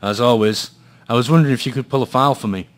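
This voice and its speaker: A man's voice: medium pitch, fast, close to an everyday voice. A man with a Scottish accent playing a weary, dry private investigator in a noir radio drama, hesitant and understated. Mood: probing, incredulous. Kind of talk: noir radio drama, dialogue and brooding first-person narration.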